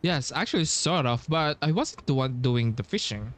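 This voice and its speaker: A man doing casual playthrough commentary as a streamer, thinking aloud haltingly and repeating himself. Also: medium pitch, lively intonation, a little hiss or hum. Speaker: game streamer. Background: game music.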